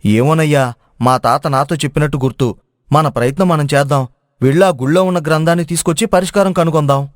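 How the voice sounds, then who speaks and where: A man plays a cautious village guide who knows old secrets, in an animated folk tale. The voice is a bit high, explanatory and urgent, recorded dry. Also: fast, no echo, natural voice. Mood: explaining. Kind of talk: animated moral story dubbing.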